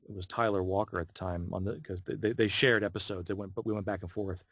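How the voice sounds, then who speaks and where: A man's voice: lowish voice, no echo, studio quality. A man being interviewed as a voice actor, telling stories casually and acting out the dialogue he quotes. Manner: animated storyteller, acts out quoted dialogue. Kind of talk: casual anecdotal interview talk.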